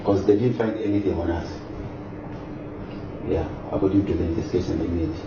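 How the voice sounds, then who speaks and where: Hesitant low-voiced man, distant and echoey. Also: a little fast. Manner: hesitant, many fillers, calm. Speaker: interviewee. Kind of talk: casual interview answers. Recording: distant echoey lo-fi recording with noise.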